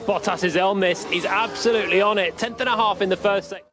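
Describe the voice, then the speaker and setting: A man's voice: high voice, fairly even intonation, quick pace, a crowd in the background. A man co-commentating live on a car race in an analytical, explanatory way.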